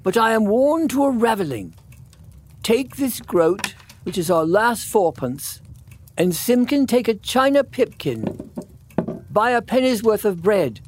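Guy doing a worn out little old tailor for a storybook, higher voice, swoopy, fretting and muttering. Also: big pitch swings, measured pace, darkish tone, slight background noise. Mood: weary, anxious. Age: older. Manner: muttering to himself, earnest.

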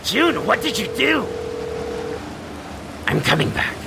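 An actor in a fan-dub audio drama voicing a sardonic, sharp-tongued alien woman anxious about a friend, with a raspy voice. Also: medium pitch, huge pitch swings, slow, background noise, heavily acted. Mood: uneasy, pleading.

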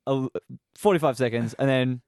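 A man's voice: mid-range voice, brisk, darkish tone, dry recording, clean studio sound. A man co-hosting a podcast in casual chat, laughing often and talking to listeners at home. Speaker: podcast co-host.

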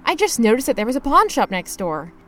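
A woman playing a scrappy, broke young mover who tells their misadventures in an expressive, slangy, playfully dramatic comedy audio-drama style. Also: a little high, a little fast. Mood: dejected, musing. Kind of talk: first-person narrated comedic audio drama with acted dialogue.

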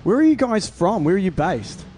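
A man's voice: sits high, big pitch swings, normal speed, music in the background. An Australian man hosting a podcast, chatting casually with a band, asking questions and laughing often. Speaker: podcast host. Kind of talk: casual interview chat.

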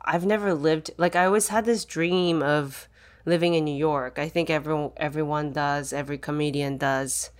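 Low-pitched woman, casual podcast guest. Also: steady tone, normal speed. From born in Taiwan, grew up in Japan and Los Angeles. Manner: self-deprecating, frequently laughing. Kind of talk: casual conversational interview.

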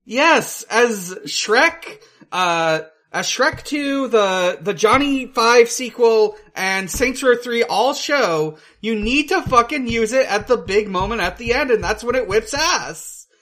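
A woman co-hosting a podcast, talking casually and haltingly about a movie, with some swearing. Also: fairly high pitch, lively intonation, fairly bright tone. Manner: halting, profane. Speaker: podcast co-host.

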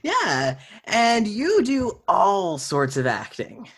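A young adult interview host with a medium-pitched voice talks at a very slow pace. The delivery is informal and conversational, like a chat between friends. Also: huge pitch swings, fairly bright tone, slightly rough.

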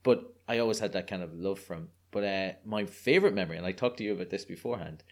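This is an Irish man in his thirties with a notably low voice, chatting as a guest on a podcast. He tells his stories in a loose, self-mocking, anecdotal way. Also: fast. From Ireland. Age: 30s. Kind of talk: casual chat.

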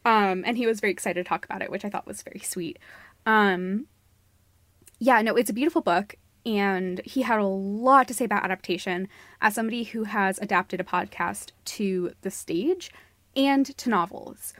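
A woman's voice: mid-range voice, moderate pace, no echo. A woman co-hosting a podcast in a casual, informal chat, effusive and given to giggling. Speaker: podcast co-host. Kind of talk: casual discussion of books and theatre.

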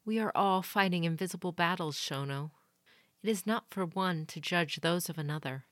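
A woman voicing a stern, proud noble mother who speaks in a measured, commanding way. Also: lowish voice, a bit bright, no echo, clean studio sound, lightly acted. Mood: calm, solemn.

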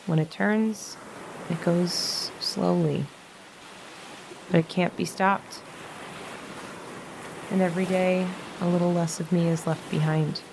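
Actress as frail young woman, gentle, ailing, reflective, quietly resolute, modern audio drama. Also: lowish voice, fairly even intonation, takes it slowly, creaky, long pauses, background noise. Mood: resigned, tender.

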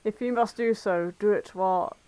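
A woman's voice: medium pitch, moderate pace, mellow, smooth, no echo, lightly acted. A woman voicing a refined, fussy, lovestruck cannibal doctor with a formal, long-suffering manner, for a comic fan-fiction reading. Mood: pleading, exasperated.

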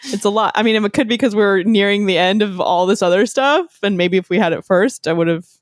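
A woman from Canada co-hosting a relaxed food-tasting chat, full of fillers and giggles. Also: medium pitch, fairly quick, dry recording, studio quality. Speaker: co-host tasting candy. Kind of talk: casual chat.

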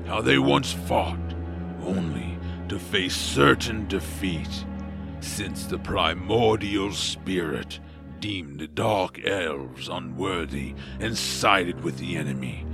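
Slow, gravelly, proud orc warrior. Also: male voice, low-pitched, animated, full character voice. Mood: solemn, imposing. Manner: formal, pompous, deliberate. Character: proud, scornful male orc warrior clan spokesman. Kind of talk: family audio drama acting. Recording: voice under clear background music.

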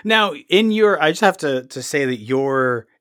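Casting note: podcast host, mid-pitched voice, dry close sound, halting restarts. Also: expressive, fairly bright tone, clear, resonant tone, no room sound. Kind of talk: casual interview conversation.

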